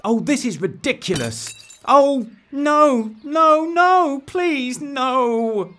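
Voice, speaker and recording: An actor in a dark comedy audio drama playing a self-important, fretful amateur crime blogger who dictates theatrically. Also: male voice, very high voice, lively intonation, extremely slow, a little hiss or hum, full character voice. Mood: fretful, exasperated. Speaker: narrator.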